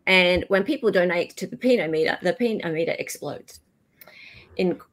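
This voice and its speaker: A woman's voice: lowish voice, moderate pace. A woman hosting a casual livestream, gushing and repeating words for emphasis. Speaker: livestream host.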